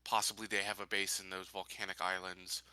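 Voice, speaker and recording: Man as a very bright, clear colony security leader. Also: low-pitched, little pitch movement, dry recording. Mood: businesslike. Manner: deliberate, measured, pausing to think. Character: measured, businesslike male colony security leader in sci-fi game. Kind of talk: improvised in-character tabletop roleplay.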